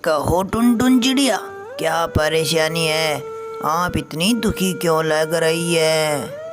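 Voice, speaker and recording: A woman voicing a wise, solemn eagle guru who preaches patiently in an animated moral story. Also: low-pitched. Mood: solemn, instructive. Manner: measured, preaching. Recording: music behind the voice.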